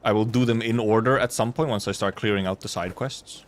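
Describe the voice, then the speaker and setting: A man's voice: fairly low pitch, fairly quick, slight background noise. A man giving casual live gameplay commentary as a streamer, rambling and muttering to himself. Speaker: game streamer.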